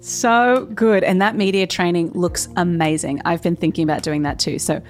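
A woman's voice: on the low side, fairly even intonation, normal speed, soft background music. A woman hosting a podcast, upbeat and encouraging as she thanks her guest and speaks to her listeners. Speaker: podcast host. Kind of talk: podcast interview and scripted outro.

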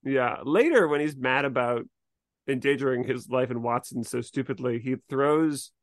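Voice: medium pitch, moderate pace, no room sound. Casual podcast co-host, close dry sound. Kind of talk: casual discussion of a TV episode.

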